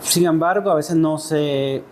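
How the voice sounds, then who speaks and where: A man's voice: a little high, unhurried, slight background noise. A man with a Salvadoran accent giving thoughtful, hesitant interview answers as a writer, often ending phrases with questioning tags. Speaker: interview guest, poet and writer.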